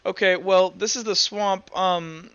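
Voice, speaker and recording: A man's voice: high voice, steady tone, unhurried, a bit bright, clean tone, dull audio. A man voicing an absent-minded swamp guide in a tabletop game, hesitant and trailing off in confusion. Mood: confused, forgetful.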